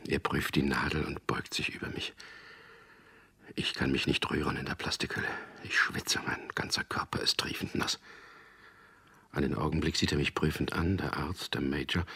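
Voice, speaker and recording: A drugged male prisoner under interrogation for radio drama. His voice is extremely deep, breathy and raspy, with a sharp strained edge. He speaks haltingly, in anguish. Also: steady tone, full character voice. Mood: dazed, tense. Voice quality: gravelly, breathy, half-whispered. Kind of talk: radio drama acting with inner monologue.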